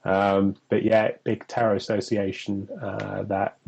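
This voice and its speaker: Man, young, from England, husky voice, lowish pitch, chatty, rambling, laughs often. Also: completely flat delivery, unhurried, a little mellow, low-quality recording. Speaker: co-host. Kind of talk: casual chat on an online live stream.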